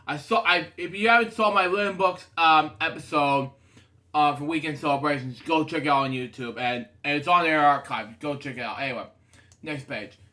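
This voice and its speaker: A man's voice: a little high, normal speed, sharp tone. A man reading a children's picture book aloud casually, often breaking off into rambling, digressive asides. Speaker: storybook reader and show host.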